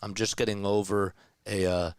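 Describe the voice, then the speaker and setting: Man, casual live basketball play-by-play, slightly raspy and nasal from a sore throat, smooth resonant tone, dry close mic. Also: lowish voice, level tone, normal speed, no room sound. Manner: conversational, reads and answers chat comments. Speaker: play-by-play commentator.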